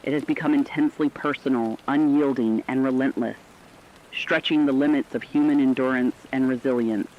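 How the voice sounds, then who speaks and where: A man's voice: medium pitch, steady tone, normal speed, natural voice. A man playing a somber radio news analyst, giving a formal, emphatic scripted account of an apocalypse. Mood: somber, urgent. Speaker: news reporter. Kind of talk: scripted dramatic news narration. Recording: dull lo-fi radio sound, rainy hiss.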